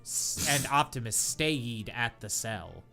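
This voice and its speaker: Bright-voiced man reading aloud deadpan. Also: mid-range voice, takes it slowly, vocal fry, soft background music. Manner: reads deadpan, breaks into laughter. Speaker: podcast co-host reading fanfiction aloud. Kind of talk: comedic dramatic reading.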